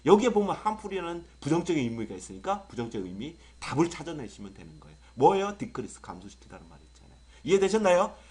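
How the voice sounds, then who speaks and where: A young adult male English tutor with a sore throat from a cold, lecturing in an excitable, exaggerated way full of rhetorical questions. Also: fairly high pitch, fast talker, hardly any pauses, breathy edge. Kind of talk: online exam-prep lecture.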